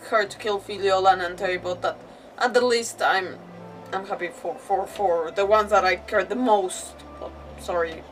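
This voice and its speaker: A woman streaming a game with casual, rambling commentary, reacting and arguing back at the characters. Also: medium pitch. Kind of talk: casual live gameplay commentary. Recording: slightly distant mic, faint background noise.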